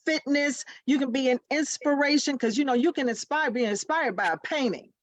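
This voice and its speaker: A woman's voice: sits high, fairly quick, no room sound. A woman hosting a video call, encouraging her guests with exclamations. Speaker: host.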